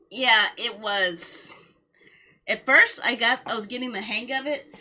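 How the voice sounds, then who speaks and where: A woman's voice: medium pitch, pushed and bright. A woman game streamer talking casually to her viewers in a rambling, conversational way. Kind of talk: casual livestream chat.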